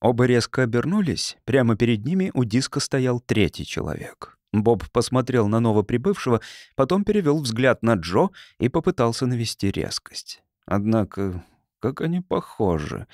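A man reading a humorous science fiction audiobook in an expressive, dramatised way. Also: mid-range voice, moderate pace, dry recording, clean studio sound. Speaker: audiobook narrator. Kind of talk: scripted narration of a humorous science fiction story.

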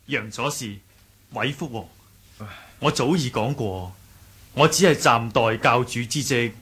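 An actor playing an earnest, modest young martial sect leader in a radio drama, measured and courteous, with a Hong Kong Cantonese accent. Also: male voice, medium pitch, fairly bright tone, slightly rough, heavily acted. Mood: modest, resolute. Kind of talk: 1980s radio drama acting.

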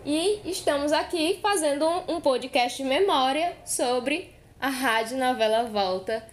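A woman's voice: sits high, a little slow. A woman hosting a podcast in an informal, conversational storytelling way. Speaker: podcast host. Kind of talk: casual podcast narration.

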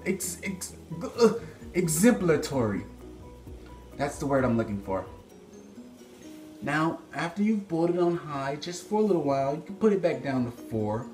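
A young man hosting a mock-serious home cooking video, playing the expert and joking with his viewers while he moves around the stove. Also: a little high. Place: home kitchen, indoors. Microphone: phone camera on a stand, several feet away. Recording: echoey kitchen.

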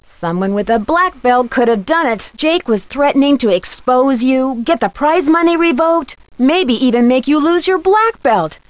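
Teen girl sleuth in a video game, nosy and pointed, really lively high voice. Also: normal speed, hardly any pauses, no echo. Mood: urgent, probing. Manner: pointed, questioning. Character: inquisitive teenage girl detective, bold and probing. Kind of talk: adventure game dialogue.

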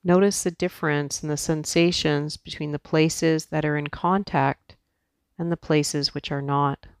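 A woman teaching yoga guides a meditation softly and soothingly, leaving long pauses between her instructions. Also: sits low, fairly even intonation, dry recording, clean studio sound.

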